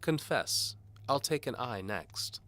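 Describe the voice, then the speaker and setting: A man's voice: mid-range voice, lively intonation, slow pace, sharp tone, slight background noise, voice pushed up. A man voicing a pompous, menacing bishop in an audio drama, speaking formally and with condescension. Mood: smug, menacing. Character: pompous, menacing bishop interrogating a prisoner, patronizing. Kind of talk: audio drama character acting.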